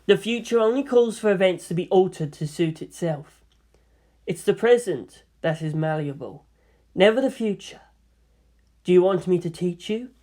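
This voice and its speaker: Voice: mid-range voice, expressive, normal speed, warm tone, close to an everyday voice. A narrator voicing a proud female alien seer, commanding, solemn and cryptic as a mentor. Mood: amused.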